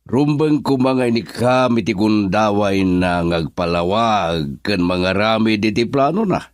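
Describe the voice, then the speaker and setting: Isang lalaking gumaganap bilang nag-aalalang ama, na may punto ng Ilocano, sa isang radio drama. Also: male voice, on the low side, expressive, a little slow, no echo. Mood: worried. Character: concerned father.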